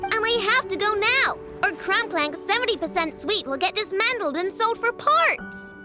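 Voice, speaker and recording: Kid inventor for a game: high, bossy, headstrong, declaiming orders over music. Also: female voice, very animated, normal speed. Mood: defiant, determined. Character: young girl genius inventor, headstrong commander of robot army. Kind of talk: video game voice acting. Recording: music behind the voice.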